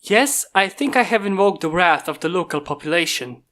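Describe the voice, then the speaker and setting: A stern, commanding man, as a story reader plays him, an inventor directing his robot bodyguards. He speaks formally at a medium pitch, in the style of an audio storybook. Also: lively intonation, normal speed, fairly bright tone, lightly acted. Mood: tense. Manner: stern, formal, giving orders.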